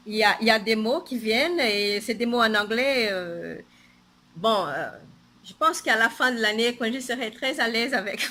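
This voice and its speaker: A woman's voice: on the high side, bright, forceful tone. A woman with a Portuguese accent, a native Portuguese speaker, chatting casually on her knitting podcast, hesitant, with many fillers and restarts.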